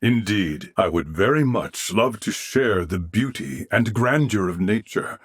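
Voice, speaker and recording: A male actor playing a calm, courteous swordsman in a video game, speaking in formal, measured phrases. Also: fairly low pitch, breathy edge, no room sound, clean studio sound, exaggerated voice. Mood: reverent, resolute. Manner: formal, archaic, measured diction. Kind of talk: scripted video game character dialogue.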